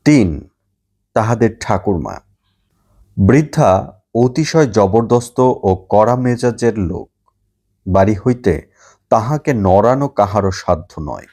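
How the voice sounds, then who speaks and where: Guy reading an audiobook story, really slow and deliberate, voice on the low side. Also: lively intonation, dark tone. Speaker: audiobook narrator. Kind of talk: scripted literary story narration.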